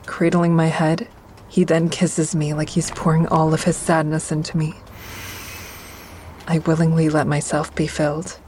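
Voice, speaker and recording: A woman's voice: deep voice, level tone, moderate pace, darkish tone, slight background noise, lightly acted. A woman playing an introspective therapy-group member who narrates her own story in a confiding, reflective way for an audio drama. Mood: tender. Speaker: narrator and lead actor.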